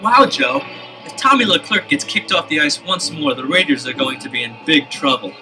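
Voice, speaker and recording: Man playing an excitable, high-voiced teenage boy detective. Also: normal speed, background noise, natural voice. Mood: eager, curious. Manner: earnest, expressive, questioning. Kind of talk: 1970s children's audio adventure acting.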